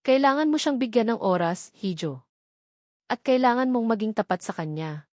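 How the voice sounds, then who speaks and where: A woman narrator voicing a kindly older woman who gives gentle advice in a romance story. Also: on the high side, expressive, moderate pace, dry recording, studio quality, close to an everyday voice.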